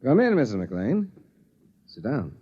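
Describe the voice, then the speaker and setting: An actor playing a kindly doctor in 1950s radio drama style. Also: male voice, mid-range voice, huge pitch swings, a little slow, husky, full character voice. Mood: genial, puzzled. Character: kindly male doctor delivering news to patient. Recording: dull old radio recording.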